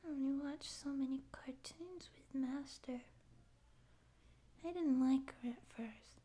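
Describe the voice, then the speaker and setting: A young woman acting a naive, pouty stray catgirl in a soft, halting ASMR roleplay voice. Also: high-pitched. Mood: plaintive, wistful. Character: naive, tearful stray catgirl would-be space pirate. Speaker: ASMR voice actor. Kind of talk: ASMR roleplay audio drama.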